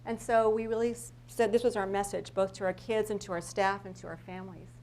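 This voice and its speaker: A woman's voice: medium pitch, steady tone, a little fast, darkish tone. American woman presenting, noisy live recording. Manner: conversational, rambling, anecdotal, often breaking off and rephrasing. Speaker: elementary school principal giving a talk. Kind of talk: informal live presentation with slides and stories. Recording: live talk with background noise and hum.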